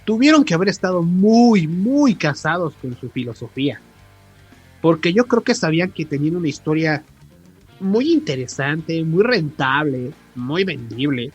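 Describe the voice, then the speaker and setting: Casting: Mexican male podcast co-host, slightly high husky voice, emphatic and slangy, music underneath. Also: lively intonation, normal speed. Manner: emphatic, repeats words for stress. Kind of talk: casual, slangy podcast chat. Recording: music behind the voice.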